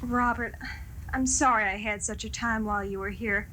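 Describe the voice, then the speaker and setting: A woman's voice: mid-range voice, fairly even intonation, normal speed, bright, forceful tone, close to an everyday voice. A woman playing a playful, bookish fiancée in a 1970s radio drama, speaking in a light, conversational way. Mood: affectionate, teasing. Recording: noisy 1970s live radio tape.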